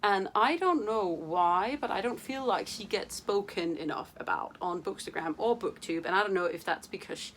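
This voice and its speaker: A woman's voice: medium pitch, animated, moderate pace, few pauses, a little hiss or hum, poor audio. A young woman from Denmark, chatting to camera about books in a playful, casual way, with many fillers and casual swearing. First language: Danish. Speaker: book vlogger.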